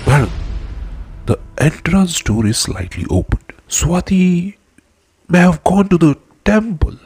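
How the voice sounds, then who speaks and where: An actor playing an anxious, deferential household manservant in an audio drama. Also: male voice, on the high side, very animated, slow, a little mellow, husky, full character voice. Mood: urgent, deferential.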